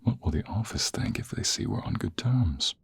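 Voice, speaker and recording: A man playing a smug, seductive office rival in an intimate ASMR roleplay, with a husky, breathy half-whisper and slow, teasing, flirtatious delivery. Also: fairly low pitch, animated, full character voice. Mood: teasing, amused.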